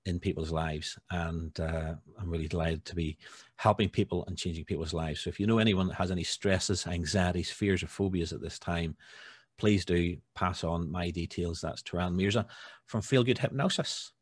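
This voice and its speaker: Guy in his 50s chairing a video call, raspy low voice, kind of halting. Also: dry recording. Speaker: meeting chair, hypnotherapist. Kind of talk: informal meeting hosting and self-introduction. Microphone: computer mic on video call.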